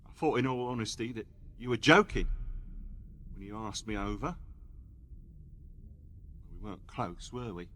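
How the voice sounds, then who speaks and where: A man's voice: lowish voice, animated, normal speed, pauses often, a bit bright. A man playing a bitter, sarcastic captive tied to a chair in a radio play, rambling and needling with rhetorical questions, with noise behind him. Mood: subdued, wry.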